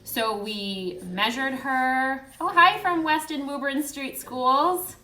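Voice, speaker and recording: Woman, bright forceful voice, echoey room, affectionate chatty live-stream host. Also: sits high, slow, slight background noise. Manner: affectionate, chatty greetings to viewers and dogs. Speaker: host and children's book author. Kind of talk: casual live-stream chat to viewers.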